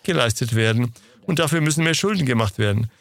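A man answering interview questions in a steady, lecturing manner. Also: mid-range voice, fast, creaky. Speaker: interview guest.

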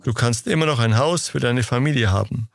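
A man answering interview questions in a steady, lecturing manner. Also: mid-range voice, fairly quick, creaky. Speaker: interview guest.

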